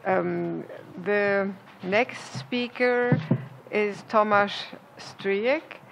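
A woman chairing a conference panel in a large hall, speaking slowly and haltingly into a table microphone. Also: medium pitch, vocal fry, highs cut off, slight background noise. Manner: slow, halting, with many pauses and "uh". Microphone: table microphone on a stand.

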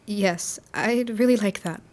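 A woman's voice: mid-range voice, a little slow, a little mellow, lightly acted. A young woman playing a sweet, self-doubting 1960s female student in an audio drama. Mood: grateful. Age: young adult. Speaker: student actor.

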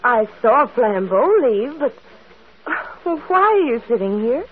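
A woman's voice: fairly high pitch, very animated, unhurried. An actress playing an earnest, kindly young woman in a radio mystery. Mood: earnest, puzzled. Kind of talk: vintage radio mystery acting. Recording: tinny, narrow-band old radio recording.

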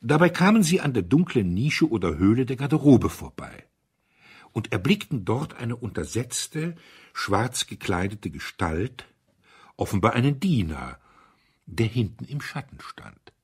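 A man narrating a literary audiobook in an expressive storytelling style. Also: medium pitch, a little slow, breathy edge.

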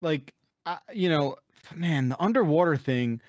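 A man's voice: a little high, lively intonation, unhurried, a little mellow, no echo, clean studio sound. A man hosting a comedic panel chat in a casual, rambling way with some swearing. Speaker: podcast host.

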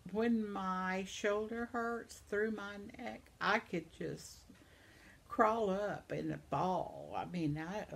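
Older woman, home vlogger, slow delivery, lowish gravelly voice, chatty and sympathetic. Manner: chatty, sympathetic, reacts aloud. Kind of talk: casual chat reading viewer comments. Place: living room at home, seated in armchair.

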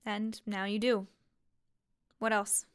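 A woman playing a guarded, grieving young waitress in a fiction podcast, speaking in a halting, matter-of-fact way. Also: medium pitch, level tone, clear, resonant tone, no echo, studio quality, natural voice. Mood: cold, guarded. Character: guarded young waitress grieving her missing sister, weary. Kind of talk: fiction podcast drama acting.

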